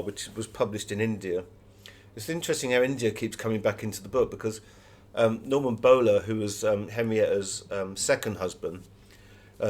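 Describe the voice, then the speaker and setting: A man's voice: fairly low pitch, darkish tone. A man. He speaks as an author being interviewed, answering conversationally and telling anecdotes as he goes.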